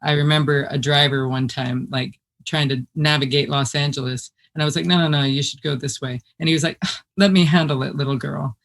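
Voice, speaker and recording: An American woman with a deep voice, a podcast guest chatting casually and telling stories with frequent laughter. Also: brisk. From United States. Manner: animated storyteller who laughs often. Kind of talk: casual conversation.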